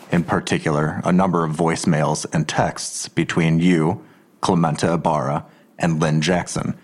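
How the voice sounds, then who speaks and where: Low-voiced, menacing police detective. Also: male voice. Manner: controlled, insinuating, unhurried questioning. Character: menacing male police detective, coolly taunting and controlled. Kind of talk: audio drama acting.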